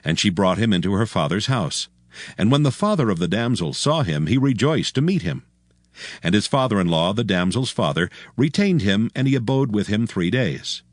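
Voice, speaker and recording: A man narrating scripture from a script as a solemn, measured storyteller. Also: lowish voice, big pitch swings, dry recording, clean studio sound.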